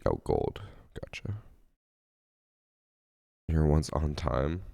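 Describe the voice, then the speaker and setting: A man's voice: very deep voice, big pitch swings, very slow, creaky, dark tone, dry recording, studio quality. A man streaming games from home and chatting casually with his chat, sounding sniffly and congested after a late night, with a laid-back, self-mocking way of talking. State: sniffly, congested from lack of sleep. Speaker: game streamer. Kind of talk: casual live-stream chat, reading chat aloud.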